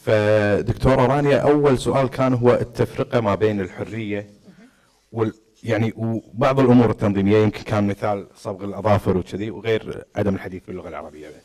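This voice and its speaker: A man moderating a forum session, passing questions to the panel in a brisk, businesslike way. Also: on the low side, darkish tone.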